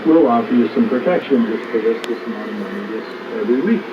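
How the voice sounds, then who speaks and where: A man's voice: mid-range voice, expressive, fast talker, muffled recording, lightly acted. A man voicing a pushy male mob collector who makes an offhand threat, heard over a noisy shortwave broadcast. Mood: menacing.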